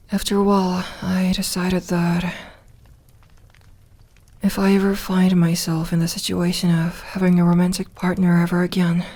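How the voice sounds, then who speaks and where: A woman playing a lonely, lovelorn vampire in an intimate ASMR audio roleplay, gentle and wry, speaking softly with hesitant pauses. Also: fairly low pitch, breathy edge. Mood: tender, resolute. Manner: hesitant, soft-spoken, slow with pauses.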